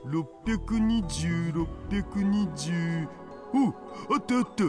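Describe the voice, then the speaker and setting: Laid-back geeky hacker sidekick, high bouncy voice, slangy, visual novel, music behind. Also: male voice, expressive, unhurried. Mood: helpful, curious. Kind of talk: Japanese visual novel voice acting. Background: game music.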